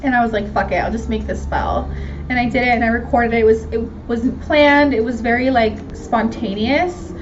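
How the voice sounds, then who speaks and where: A woman's voice: on the high side, fairly even intonation, normal speed, faint music behind. A woman of twenty-nine with an American accent, chatting casually and candidly in a slangy, self-mocking way as she hosts a question-and-answer vlog. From Miami, Florida. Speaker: video host answering viewer questions. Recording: dull lo-fi sound with background noise.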